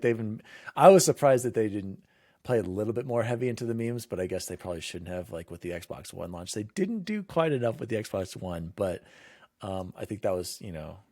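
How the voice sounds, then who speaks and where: A man's voice: fairly low pitch, a little mellow, dry recording. A man hosting a casual gaming podcast, chatting in an enthusiastic, emphatic way. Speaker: podcast host.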